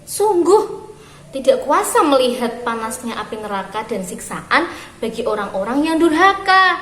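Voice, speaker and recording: A woman reading a story aloud for young children, phrasing expressively and deliberately with pauses. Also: fairly high pitch, very animated, fairly bright tone. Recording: roomy echo with clear background noise.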